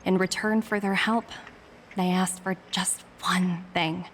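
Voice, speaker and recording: A woman voicing a composed corporate agent in a video game, reading a formal, earnest and persuasive letter in a measured way. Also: lowish voice, steady tone, a little hiss or hum. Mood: measured, solemn. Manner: measured, formal, deliberate phrasing. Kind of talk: scripted game letter monologue. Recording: background music under the voice.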